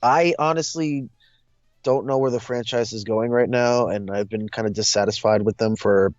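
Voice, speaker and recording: Halting young man, dry dull recording. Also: on the low side, fairly even intonation, darkish tone, dry recording. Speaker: discussion co-host. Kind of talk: casual chat.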